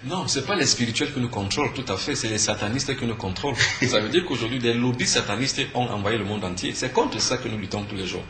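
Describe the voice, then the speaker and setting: A man's voice: medium pitch, fast, hardly any pauses. A man from Cameroon with a Cameroonian French accent, teaching earnestly as an interview guest, emphatic and fond of answering his own questions. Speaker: spiritual teacher and conference speaker, interview guest. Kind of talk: earnest explanatory talk in a TV interview. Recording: echoey room with faint background noise.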